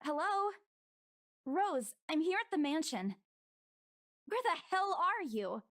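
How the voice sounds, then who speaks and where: Man putting on an anxious, very high-pitched young woman. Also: big pitch swings, slow pace, a bit bright, slight background noise, heavily acted. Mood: anxious, impatient. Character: anxious, impatient young woman on a phone call.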